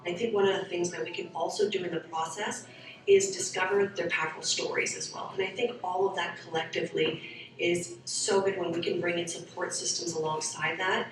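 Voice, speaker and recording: A woman's voice: lowish voice, animated, a little fast. A woman who founded a youth soccer program, speaking earnestly in a documentary interview. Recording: echoey, from far off, with music.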